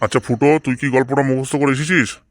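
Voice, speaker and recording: A man's voice: medium pitch, steady tone, fast talker, slight background noise, natural voice. A man voicing a stern, easily offended village tuition teacher in a comedy cartoon. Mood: bossy, questioning. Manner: questioning and bossy, scolds pupils.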